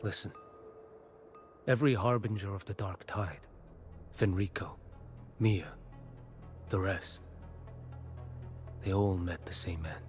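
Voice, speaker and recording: Video game role: stern, solemn blind envoy, lowish register, unhurried phrasing, over clear background music. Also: male voice, fairly even intonation. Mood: grave, stern. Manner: solemn, measured, deliberate delivery. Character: grave blind male envoy tracking the dark tide.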